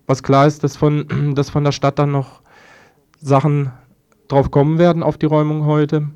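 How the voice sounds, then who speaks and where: Halting man with a flat, clear voice. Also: on the high side. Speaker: eyewitness interviewee, affected resident. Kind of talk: spontaneous first-hand account.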